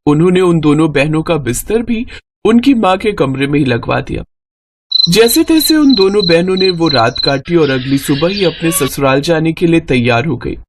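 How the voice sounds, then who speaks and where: Lively woman narrator, noisy background. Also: low voice, normal speed. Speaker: story narrator. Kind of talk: scripted moral-story narration.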